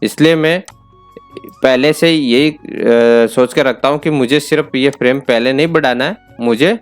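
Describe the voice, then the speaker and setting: Man, Indian accent, clear smooth voice, mid pitch, informal instructor, repeats key points, faint music behind. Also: a little slow. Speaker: tutorial host. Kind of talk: step-by-step software tutorial, informal.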